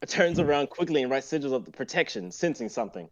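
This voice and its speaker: A man's voice: mid-range voice, big pitch swings, fast talker, lo-fi sound. A young man narrating stage directions in a live amateur script reading. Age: young adult.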